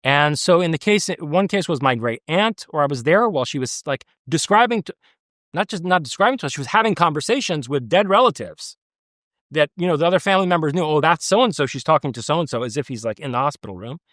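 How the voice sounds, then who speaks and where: A man's voice: fairly high pitch, expressive, fast, a bit bright, no room sound, clean studio sound. A man from the United States speaking as an interview guest, earnest and emphatic, with frequent restarts. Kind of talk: conversational interview.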